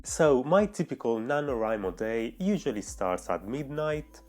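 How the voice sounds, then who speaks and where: A man's voice: mid-range voice, very animated, a little slow, loud music behind the voice. Italian-accented man narrating a writing vlog. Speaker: writing vlogger. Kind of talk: scripted vlog voice-over.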